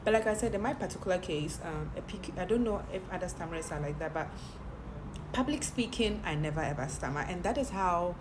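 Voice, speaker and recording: A woman's voice: lowish voice, fast talker. A woman talks frankly to camera in a personal monologue, often repeating words and restarting her sentences. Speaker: content creator and TV presenter. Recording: steady background noise.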